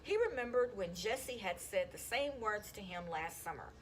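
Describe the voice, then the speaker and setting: Animated woman reading a children's story. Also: medium pitch, moderate pace, slight background noise. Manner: animated, acts out dialogue. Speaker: school counselor reading aloud to students. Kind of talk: expressive children's audiobook read-aloud.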